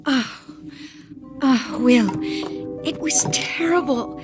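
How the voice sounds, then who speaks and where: Casting: gutsy older rural wife, higher-pitched voice, folksy and stubborn, radio thriller with prominent music. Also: female voice, extremely slow. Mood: pained, distressed. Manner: plain-spoken, folksy, wry. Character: feisty, stubborn old country wife, hurt but defiant. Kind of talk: audio drama acting.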